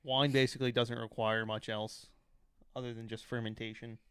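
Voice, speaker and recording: A man's voice: mid-range voice, flat delivery, moderate pace, clean tone, no echo. A man chatting casually as a podcast co-host, chipping in with joking asides. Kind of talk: casual chat.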